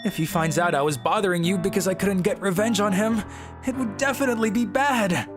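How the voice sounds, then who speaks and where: A woman's voice: mid-range voice, fairly quick, natural voice. A woman voicing a scheming, cowardly teenage schoolboy in a manga dub, boastful one moment and stammering excuses the next. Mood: flustered. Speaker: voice actor. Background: background music.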